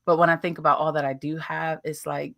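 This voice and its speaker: A woman from the United States, in her late thirties, telling her life and travel story as a guest. She is animated and playful, often laughing and adding asides. Her voice sits low yet sounds bright and forceful. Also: a little fast, creaky, no echo. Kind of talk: casual interview storytelling.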